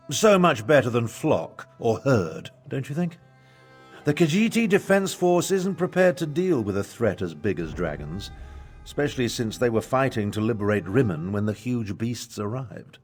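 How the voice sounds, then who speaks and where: A man's voice: medium pitch, slightly rough. An older man acting a pompous, haughty battle mage and imperial advisor in a video game, with theatrical delivery. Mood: self-satisfied. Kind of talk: video game character dialogue. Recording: music behind the voice.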